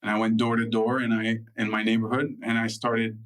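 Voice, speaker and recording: A man with a low, fairly monotone American voice, recalling his youth for an interviewer. He keeps circling back with fillers and restarts. He sounds a bit distant from the microphone. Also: brisk. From United States. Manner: loose, filler-heavy, with frequent restarts. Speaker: guest. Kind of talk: relaxed interview storytelling.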